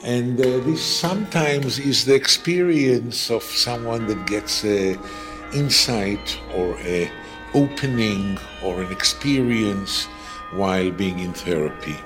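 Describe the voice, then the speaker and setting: Man in his seventies, slow deliberate talk, lowish voice, soft music behind. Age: 70s. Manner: slow, thoughtful, laughs softly. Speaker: carillonneur and psychoanalyst. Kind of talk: interview answers.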